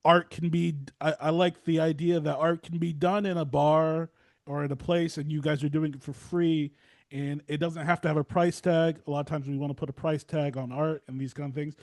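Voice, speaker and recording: A man's voice: fairly high pitch, little pitch movement, normal speed, a little mellow, no echo, studio quality. A man hosting a casual interview, rambling and often restarting his phrases. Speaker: podcast host and theater reviewer.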